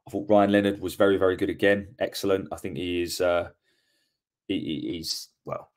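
A man's voice: sits low, steady tone, moderate pace. A man, hosting a solo post-match football reaction podcast from home on a webcam, giving frank opinions and often repeating words as he corrects himself. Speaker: podcast host.

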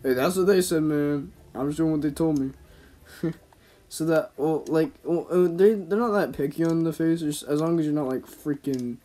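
Mellow-voiced guy rambling over gameplay. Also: on the high side, normal speed. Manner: slangy, informal, talking to himself and viewers. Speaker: gaming YouTuber. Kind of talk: casual gameplay commentary, rambling chat.

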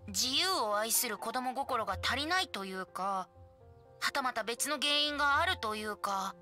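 A carefree young bard, boyish and teasing, with a bright, high voice. The lines are breezy anime game acting over faint music. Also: female voice, lively intonation, normal speed. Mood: playful, musing.

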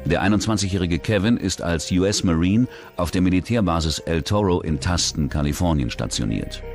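Deep-voiced male true-crime narrator over music. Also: a little fast. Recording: music bed under narration.

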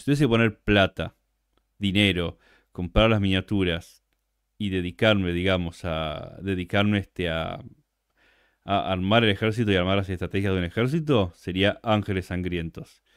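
Young argentine guy chatting on a stream, low voice, dry sound. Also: dry recording. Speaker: interview guest. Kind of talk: casual livestream chat.

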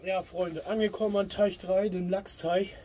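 A man's voice: high voice, steady tone, moderate pace, warm tone. A man hosting a fishing vlog, chatting casually and colloquially to his viewers as friends.

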